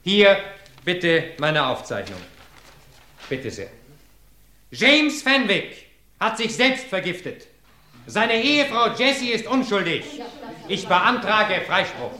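An actor playing a sharp, determined defense attorney in a 1950s radio drama, talking urgently and insistently. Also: male voice, high voice, big pitch swings, takes it slowly, long pauses, exaggerated voice. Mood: formal, triumphant. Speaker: defense lawyer. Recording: roomy old radio play recording.

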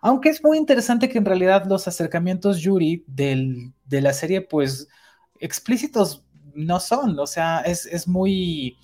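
A woman's voice: fairly low pitch, big pitch swings, a little slow, warm tone. A woman from Mexico City with a Mexico City Spanish accent, co-hosting a casual podcast chat, chatty and laughing often. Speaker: podcast co-host.

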